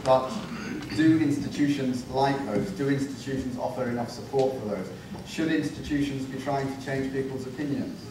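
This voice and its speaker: A man giving a conference panel talk, relaxed and self-deprecating with light humor. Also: mid-range voice, normal speed, noisy background. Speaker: university panelist. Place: large conference room. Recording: echoey hall, distant mic.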